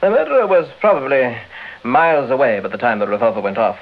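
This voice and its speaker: Polite British police inspector, thin radio sound. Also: male voice, medium pitch, very animated, fast. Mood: matter-of-fact. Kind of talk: vintage BBC radio drama acting. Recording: thin, narrow, hissy old radio recording.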